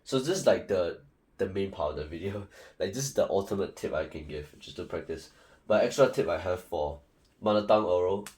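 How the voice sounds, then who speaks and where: A man's voice: low-pitched, expressive, a little fast, darkish tone. A young man from Singapore with a Singaporean English accent, giving casual, rambling study advice full of fillers and restarts. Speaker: student tutor.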